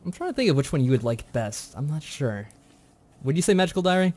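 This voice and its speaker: A man's voice: fairly high pitch, big pitch swings, fast talker, a little mellow. A man chatting casually as a contestant in a quiz. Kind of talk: casual chat.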